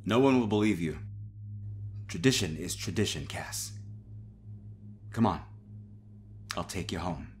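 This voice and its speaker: An actor playing a defensive, menacing young fraternity brother in a horror audio story. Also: male voice, low voice, expressive, measured pace, pauses often, slight background noise. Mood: quietly menacing.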